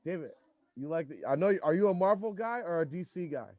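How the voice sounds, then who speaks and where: A man's voice: fairly high pitch, lively intonation, fast talker, no echo. A man hosts a podcast in easygoing, profane chat, often stopping and restarting his sentences. The mic sounds dry and a bit dull. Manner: loose, profane, talks in restarts. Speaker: podcast host.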